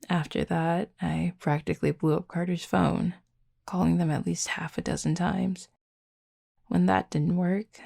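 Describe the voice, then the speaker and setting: Woman voicing a husky, flirty werewolf, deeply gravelly. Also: warm tone, heavily acted. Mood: amused, sheepish. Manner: dry, teasing, unhurried, wordy. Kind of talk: intimate audio roleplay, late-night chat.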